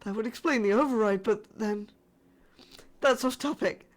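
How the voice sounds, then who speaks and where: A frightened female survivor of a spaceship crew, played by a woman delivers a distress message in a fairly high voice. She holds to formal reporting, yet sounds shaken and halting, in the manner of a sci-fi horror audio drama. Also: close to an everyday voice. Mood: shaken, dread.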